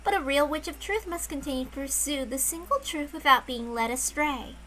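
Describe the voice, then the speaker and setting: A woman voicing a calm, aloof female witch who speaks softly and measuredly. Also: high voice, slight background noise, low-quality recording. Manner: soft, measured, quietly lecturing.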